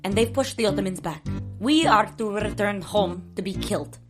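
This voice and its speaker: A woman playing a bossy, excitable young diplomat with an Eastern European accent in a comic audio drama. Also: mid-range voice, moderate pace, music in the background, natural voice. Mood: resigned, bossy. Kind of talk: comedic audio drama acting.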